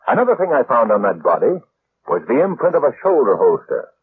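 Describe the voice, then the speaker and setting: An actor playing a shrewd, assured gentleman sleuth in an old-time radio mystery, speaking politely and deliberately in formal phrases. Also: mid-range voice, very animated, breathy edge. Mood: calm, accusing. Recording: tinny, noisy old radio recording.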